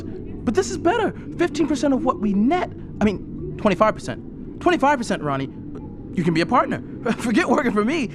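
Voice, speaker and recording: A young male entrepreneur, eager and wheedling. His pitch swings widely as he cajoles like a salesman. It is a radio drama scene with clear background noise. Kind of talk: naturalistic radio drama acting. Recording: noticeable ambient noise behind the voice.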